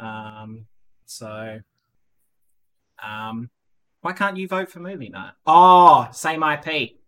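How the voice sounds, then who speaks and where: A man's voice: a little high, expressive, takes it slowly, a bit bright, clear, resonant tone. A man hosting a casual online community podcast, rambling with lots of fillers and restarts. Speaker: podcast host.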